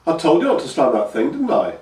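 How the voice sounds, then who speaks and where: A man's voice: a little high, huge pitch swings, fast, a little mellow, recorded from a distance, pitched above the performer's own voice. A man playing a mild, long-suffering teenage peasant boy with a weary, dry manner. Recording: echoey room, voice from afar.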